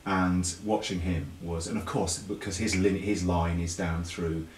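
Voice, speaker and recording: A deep-voiced British man chats as an interview guest, explaining things casually with repeated words and self-corrections. The recording is echoey and a little distant from the microphone, with faint noise behind him. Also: steady tone, measured pace. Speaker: interview guest, pantomime writer and performer. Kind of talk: casual chat show interview.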